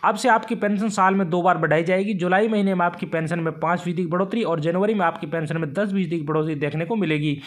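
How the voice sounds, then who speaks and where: A man with a high voice reads scripted news updates at a quick clip. He delivers them emphatically, as a presenter announcing headlines.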